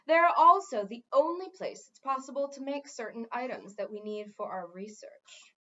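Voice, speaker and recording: A woman voicing a smooth, pushy, lecturing male head wizard in a children's fantasy story. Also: fairly high pitch, expressive, moderate pace, fairly bright tone, highs cut off. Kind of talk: children's fantasy audiobook reading.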